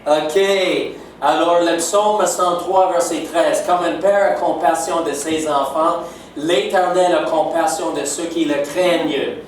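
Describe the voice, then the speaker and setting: A man's voice: fairly high pitch, steady tone, slow, slight background noise. Older English Canadian man preaching in French. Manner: warm, emphatic, keeps asking "n'est-ce pas?". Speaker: guest preacher. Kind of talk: sermon. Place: church sanctuary. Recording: echoey church hall from far off.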